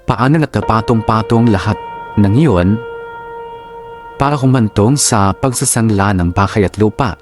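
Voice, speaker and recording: A man as a reflective Filipino storyteller, reading a personal letter as drama narration. His pitch is low, his accent Filipino, and soft music plays behind him. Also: lightly acted.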